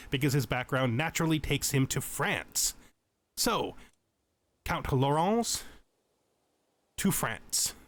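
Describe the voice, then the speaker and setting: A man giving tutorial-style game commentary and reading lore aloud in a measured, explanatory, storytelling way. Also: medium pitch, slight background noise. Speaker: let's play host.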